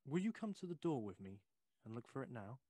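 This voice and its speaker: An actor playing a young, clear-minded gentleman visitor in a Victorian ghost story, formal and reassuring, urging calm. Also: medium pitch, brisk, no room sound. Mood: probing, cautious.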